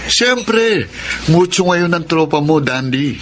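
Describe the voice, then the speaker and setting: A man playing a smooth-talking, cocky young boyfriend living off his girlfriend in a radio drama. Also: fairly high pitch, animated, slow, slight background noise. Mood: smug, boastful. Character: smug young freeloading boyfriend, scheming.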